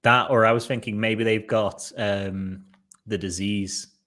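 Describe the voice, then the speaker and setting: A young man co-hosting a casual podcast chat, rambling along with fillers and quick jokes. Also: fairly low pitch, fairly even intonation, pushed and bright. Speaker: podcast co-host. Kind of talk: casual chat reviewing a TV episode.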